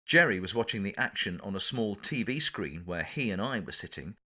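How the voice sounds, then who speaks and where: A man's voice: fairly low pitch, lively intonation, a little fast, bright, forceful tone. A man with an English accent reading his own memoir for an audiobook, telling the anecdote with theatrical, dramatic emphasis. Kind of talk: audiobook narration, scripted anecdote.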